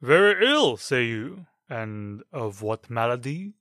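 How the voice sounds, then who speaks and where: An actor playing a stern older captain of musketeers, scolding his men with ironic anger and sudden shouts. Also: mid-range voice, big pitch swings, slow pace, dry recording, clean studio sound. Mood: sarcastic fury. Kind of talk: dramatized audiobook acting.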